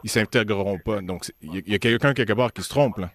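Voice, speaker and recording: Quebec guy hosting radio interview, lowish voice, halting, keeps rephrasing his questions. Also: a bit bright. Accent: Quebec French. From Montreal, Canada.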